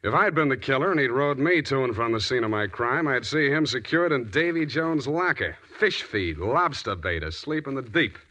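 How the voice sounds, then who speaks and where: An American actor playing a cynical, hard-boiled private detective in 1940s radio drama, with a dry, sardonic, clipped delivery. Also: male voice, medium pitch, lively intonation, normal speed, hardly any pauses, sharp tone. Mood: dry, skeptical. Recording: noisy old 1940s radio broadcast.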